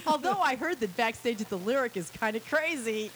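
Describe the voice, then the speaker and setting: A woman's voice: fairly high pitch, animated, normal speed, fairly bright tone, poor audio. A woman hosting a live radio interview show, bubbly and effusive with her guests. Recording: faint tape hiss.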